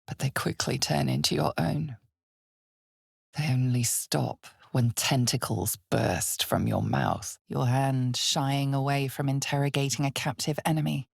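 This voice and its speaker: An ominous female storyteller for a dark fantasy video game. Her voice is low and husky, with a breathy edge. She narrates slowly and deliberately, with knowing, dramatic weight. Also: no echo, studio quality, full character voice. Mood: measured, foreboding. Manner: measured, deliberate, dramatic, often dropping toward a breathy whisper.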